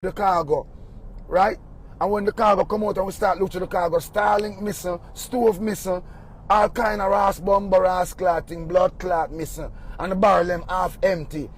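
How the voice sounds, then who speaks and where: A man's voice: high-pitched, steady tone, moderate pace, husky. A man with a Jamaican accent ranting angrily, swearing and firing questions at the viewer. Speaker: vlogger ranting about stolen cargo. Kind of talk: angry rant.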